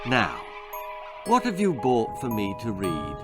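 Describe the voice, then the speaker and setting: A man voicing a young boy's mother in a storybook, soft, coaxing and encouraging. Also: fairly low pitch, wildly swooping pitch, slow pace, exaggerated voice. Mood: gently encouraging. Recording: music behind the voice.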